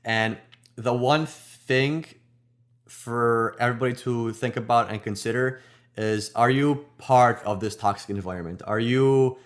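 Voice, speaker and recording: A man's voice: medium pitch, a little slow. A man co-hosting a nursing podcast, talking in earnest casual chat and often repeating words as he restarts. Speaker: nurse podcast co-host.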